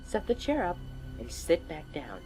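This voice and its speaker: A man voicing a shrill, unhinged young woman. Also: wildly swooping pitch, measured pace, soft background music, rough recording. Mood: commanding. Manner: shrill, shouting, taunting.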